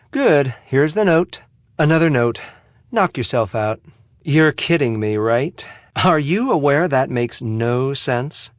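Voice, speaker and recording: An actor with an American accent playing a sarcastic, theatrical spa receptionist with a villainous streak in a video game. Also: male voice, a little high, no room sound, clean studio sound. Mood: brisk, then dismissive. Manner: sarcastic, snide, theatrical delivery. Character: sardonic, theatrical male spa receptionist, secretly a bomber. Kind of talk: video game character dialogue.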